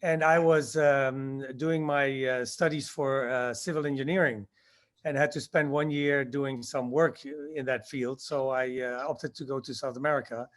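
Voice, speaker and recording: A man in his sixties, born in Indonesia, answering interview questions about his past and recalling it haltingly with many fillers. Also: medium pitch, fairly even intonation. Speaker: guest, skydiving instructor.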